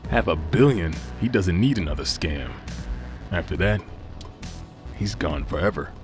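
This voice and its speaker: An actor playing a brash, cheeky, excitable sidekick in an audio drama, with slangy, expressive delivery. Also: male voice, low-pitched, unhurried, music in the background. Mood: amazed. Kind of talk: naturalistic audio drama acting.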